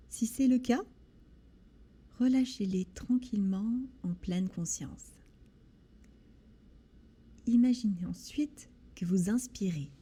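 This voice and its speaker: A woman leads a guided body scan meditation in a soothing, gentle manner, with deliberate pauses between short phrases. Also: mid-range voice. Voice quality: soft, breathy. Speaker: guided relaxation instructor.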